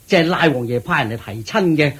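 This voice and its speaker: A man's voice: mid-range voice, animated, fast talker, fairly bright tone, breathy edge. A man with a Hong Kong Cantonese accent playing a proud, worried wealthy father who pleads and frets in a radio drama. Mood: fretful. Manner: pleading, fretful.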